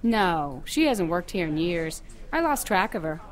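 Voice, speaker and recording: A woman's voice: on the low side, big pitch swings, measured pace. A woman playing a chatty, flirtatious nurse in her fifties, in conversational audio drama dialogue. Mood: helpful, curious.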